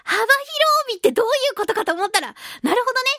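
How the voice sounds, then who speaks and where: High-pitched, animated woman radio host. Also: fast talker, dry recording. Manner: giggly, expressive, chatty. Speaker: radio show host and voice actress. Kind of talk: casual radio chat, reading listener mail.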